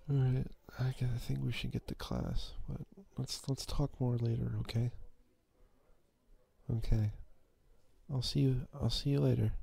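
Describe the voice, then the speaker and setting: A man playing a quiet, obsessive, possessive schoolboy in an ASMR roleplay, halting and breathy. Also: mid-range voice, big pitch swings, full character voice. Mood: apologetic, pleading. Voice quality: husky, breathy. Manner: halting, stammering, brooding. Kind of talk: ASMR roleplay, intimate acted monologue.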